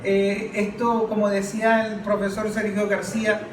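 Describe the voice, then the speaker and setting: A man with a notably high voice and a Venezuelan accent leads a political discussion. He argues emphatically and poses rhetorical questions. The sound is roomy, picked up at some distance from the microphone. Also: monotone, moderate pace, crowd noise. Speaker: forum podcast moderator and political analyst. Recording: roomy, distant mic, faint noise.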